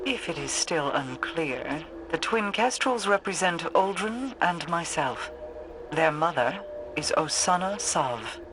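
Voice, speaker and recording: A woman's voice: deep voice, noisy background. An actress playing a regal, aloof queen in a video game, speaking formally with cool, composed, dismissive authority. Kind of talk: scripted game dialogue, exposition.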